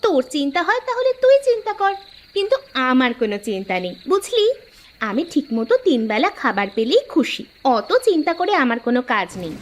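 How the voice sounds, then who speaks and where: Woman acting a carefree bossy sister, high-pitched, breezy and dismissive, cartoon dialogue, slight background noise. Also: big pitch swings, brisk. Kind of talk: scripted cartoon story dialogue.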